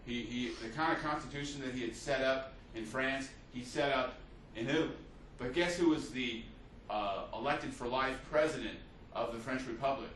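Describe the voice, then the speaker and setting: A man's voice: medium pitch, moderate pace, few pauses, a bit bright, a little hiss or hum. Man lecturing in an echoey room. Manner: loose storytelling with frequent fillers and restarts. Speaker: history teacher. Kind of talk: unscripted classroom lecture. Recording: echoey room, mic at a distance.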